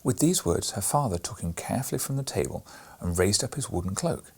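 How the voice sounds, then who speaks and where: Expressive husky male storyteller. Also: on the low side, a little fast. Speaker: reader, channel host. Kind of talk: audiobook narration of a children's story.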